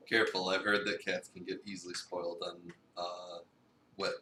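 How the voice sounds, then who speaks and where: A woman's voice: rumbling low, sharp tone, distant mic. A woman co-streamer chatting casually and throwing in joking comments on a game. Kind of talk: casual chat with joking interjections. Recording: echoey room, off-mic, faint background noise.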